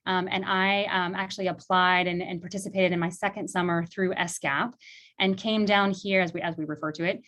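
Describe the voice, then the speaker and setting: A woman's voice: lowish voice, steady tone, brisk, a bit bright, low-quality recording. A woman from Illinois who directs a law clinic, speaking earnestly and reflectively on a video call, with many fillers. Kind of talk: virtual panel talk.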